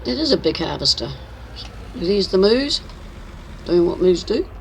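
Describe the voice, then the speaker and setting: A woman's voice: medium pitch, sing-song, a little slow, a little hiss or hum. An older woman, chatting casually and teasingly as she streams a farming game. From New Zealand. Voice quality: croaky. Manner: chatty, teasing, narrates her own driving. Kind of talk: casual live-stream chat while playing.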